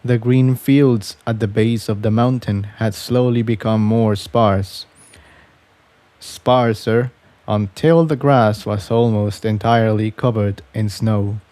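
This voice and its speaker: A man narrating a fan fiction story in a measured, scripted reading style. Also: mid-range voice, mellow, dry recording.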